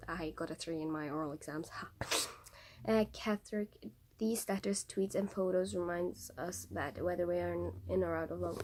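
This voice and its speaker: A woman's voice: on the low side, background noise. A young woman from Norway with a Norwegian accent, speaking English as a second language, chatting casually and reading passages aloud from a book, with many fillers and restarts. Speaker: vlogger.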